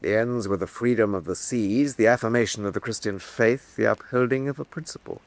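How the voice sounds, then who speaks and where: An actor playing a contemptuous, moralising state inquisitor in a historical radio drama, with a haughty, rhetorical manner. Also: male voice, on the low side, a little fast, no room sound, natural voice. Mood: stern.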